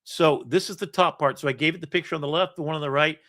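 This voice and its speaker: Man in his sixties, somewhat high voice, rambling host, casual screen-share talk. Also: quick pace, a bit bright. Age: 60s. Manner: rambling, self-deprecating, swears now and then. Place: home setup with an iPad.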